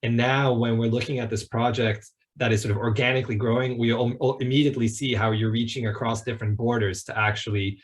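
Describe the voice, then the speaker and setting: A man's voice: medium pitch, little pitch movement, fast talker. A man giving informal academic commentary as a panelist at an online event.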